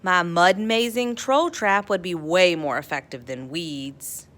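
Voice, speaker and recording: A woman reading a children's picture book aloud as narrator, with playful dramatic emphasis and drawn-out words. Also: lowish voice, fairly bright tone. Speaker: storybook read-aloud narrator.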